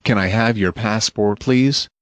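A man reading English travel phrases one by one in a measured, plain way for learners. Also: lowish voice, fairly bright tone, clear, resonant tone, dry recording. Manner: measured, plain, each phrase read alone. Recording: dull, slightly muffled dry audio.

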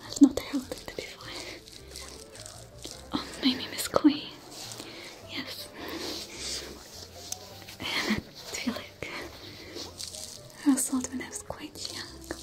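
A woman voicing a female alien smuggler with a French accent, whispering gently in an ASMR roleplay. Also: high voice. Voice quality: whispery, soft-spoken. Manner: slow, soothing, caring.

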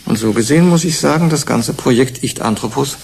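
An actor playing a blunt, analytical investigator in a German radio play, reasoning deliberately and emphatically. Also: male voice, mid-range voice, vocal fry, a little mellow, close to an everyday voice. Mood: critical, emphatic. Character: blunt, analytical male investigator on a research committee. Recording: 1980s radio play, faint noise, ambience.

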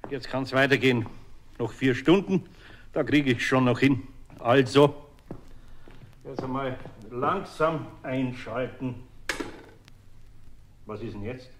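A man's voice: mid-range voice, slow pace, pauses often, natural voice. A man as grumbling Bavarian master craftsman, older. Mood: busy, muttering. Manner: grumbling, muttering to himself. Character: grumpy, exasperated older male master craftsman. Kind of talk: German children's audio play acting. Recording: echoey old audio play with hiss.

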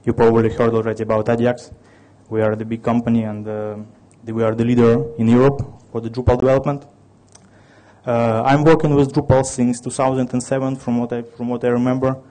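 A man's voice: mid-range voice, flat delivery, fairly quick, warm tone, rough recording. A man in his thirties from Ukraine with a Russian accent, giving a technical conference talk with many restarts and fillers. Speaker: conference speaker.

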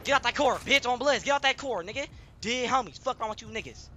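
A man's voice: very high-pitched, expressive, fast, pushed and bright. A man in a game's voice chat, barking repetitive, aggressive slang and curses as he role-plays. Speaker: fellow player in game voice chat. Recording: noisy game audio with gunfire. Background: gunfire.

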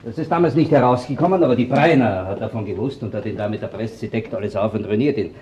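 An actor playing a blunt, sceptical police detective in a crime radio play, dry and matter-of-fact, with an Austrian accent. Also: male voice, fairly low pitch, fast, darkish tone, slight background noise, close to an everyday voice.

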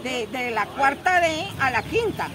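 A woman's voice: high-pitched, expressive, unhurried. A middle-aged woman from Colombia, a local resident, complaining in a detailed, indignant way during an outdoor street interview. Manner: indignant, detailed, gestures while talking. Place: outdoors on a busy town street. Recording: street noise and traffic behind.

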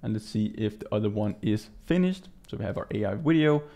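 A man in his twenties from Denmark with a Danish accent, giving a casual software walkthrough as a native Danish speaker. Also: lowish voice, animated, a little fast. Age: 20s. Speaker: tutorial presenter. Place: small indoor room.

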